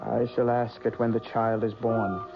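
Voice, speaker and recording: An actor playing a sinister old woman fortune teller who speaks in a soft, creepy, coaxing way, in a radio drama. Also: medium pitch, flat delivery, normal speed, over music, lightly acted. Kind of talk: 1970s radio drama acting. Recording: muffled old radio broadcast.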